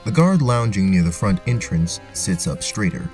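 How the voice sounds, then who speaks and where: Deep-voiced male fantasy narrator. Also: sing-song, normal speed, darkish tone, distant mic, faint music behind. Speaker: narrator, game master. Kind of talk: scripted fantasy story narration.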